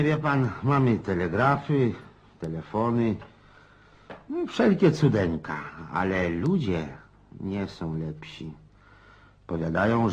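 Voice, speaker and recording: Husky, rambling old Cossack. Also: male voice, low-pitched, heavily acted. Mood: musing, reminiscing. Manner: rambling, folksy, prodding listener with questions. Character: garrulous old Cossack, cynical rustic storyteller. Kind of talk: radio play acting.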